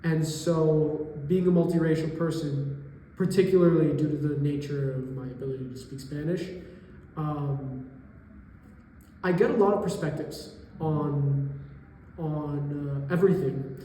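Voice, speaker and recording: A young man in his twenties, a college student from New York, answering interview questions thoughtfully and pausing to find his words. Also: on the high side, fairly even intonation, mellow, a little hiss or hum. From New York area, US. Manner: thoughtful, pauses to search for words. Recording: echoey room with long reverb.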